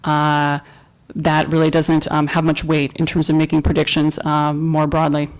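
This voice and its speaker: Female academic speaker; measured, hesitant Q&A delivery in a conference room. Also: sits low, completely flat delivery, clear, resonant tone. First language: English. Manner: hesitant, thoughtful, many fillers. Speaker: conference presenter. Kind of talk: academic talk and Q&A. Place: lecture room at a podium.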